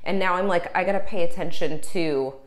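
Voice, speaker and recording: A woman's voice: fairly low pitch, brisk, poor audio. A woman co-hosting a podcast, chatting casually and filling her talk with "like" and "um". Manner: chatty, filler-heavy, lots of "like". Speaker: podcast co-host. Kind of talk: casual chat.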